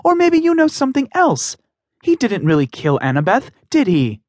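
A man reading aloud and voicing a defiant, sharp-tongued young woman in a raised, high voice. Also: huge pitch swings, normal speed, no echo, clean studio sound. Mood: accusing.

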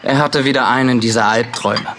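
A man's voice: medium pitch, fairly even intonation, fairly quick, background noise, lightly acted. An actor playing an earnest, concerned young man, a younger brother, in an audio drama. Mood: worried, disappointed. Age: young adult. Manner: earnest, troubled. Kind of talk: German audio drama acting.

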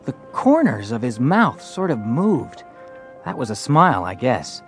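Earnest young western teenager, lively pitch. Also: male voice, measured pace, faint music behind. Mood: reflective. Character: earnest fourteen-year-old western boy narrating his story. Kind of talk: first-person dramatized audiobook narration and dialogue.

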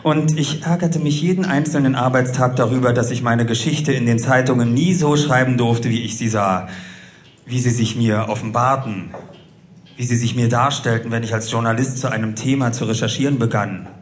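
A man playing an author and former journalist, presenting his invented characters in a deliberate, theatrical announcing manner. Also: medium pitch, fairly even intonation, close to an everyday voice. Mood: reflective. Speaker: host presenting characters on stage. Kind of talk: live staged audio drama presentation.

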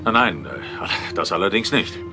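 Lebhafter, galanter Inspektor im Gruselhörspiel. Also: male voice, low-pitched, sing-song, measured pace, creaky, music in the background, full character voice. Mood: gallant. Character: gallant male Scotland Yard ghost-hunting inspector. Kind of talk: German audio horror drama acting.

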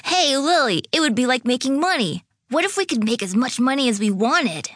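A woman's voice: high voice, big pitch swings, brisk, fairly bright tone, no echo, studio quality, pitched above the performer's own voice, exaggerated voice. A woman reading a storybook, voicing an eager, wide-eyed seven-year-old boy. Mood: giddy excitement. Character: excitable, grinning seven-year-old boy, little brother.

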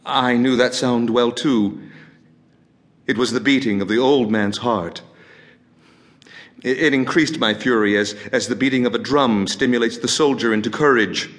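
A man performing a dramatic gothic horror monologue as a crazed, obsessive murderer confessing with feverish glee, theatrical and halting, with drawn-out words and repetitions. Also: mid-range voice, a bit bright, lightly acted. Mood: tense, mounting frenzy.

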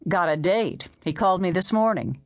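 A narrator plays a breezy, outspoken woman chatting with her friend in an audiobook. She is flippant and blunt, in a slightly low voice. The sound is dry. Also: animated, dry recording. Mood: breezy, teasing. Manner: flippant, brisk. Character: breezy, blunt, twice-married female friend.

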